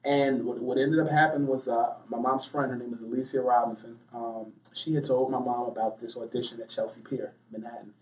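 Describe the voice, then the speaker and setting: A man's voice: medium pitch, little pitch movement, fairly quick, few pauses, darkish tone. An American man giving talkative, anecdotal answers as a sports journalist being interviewed. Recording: roomy, distant mic.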